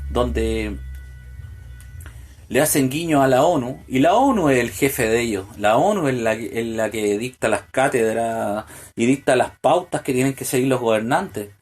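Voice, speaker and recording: A man's voice: mid-range voice, a little mellow. A man delivering a solo political podcast monologue, full of hesitant fillers and restarts, building to pointed rhetorical questions. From Chile. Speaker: podcast host.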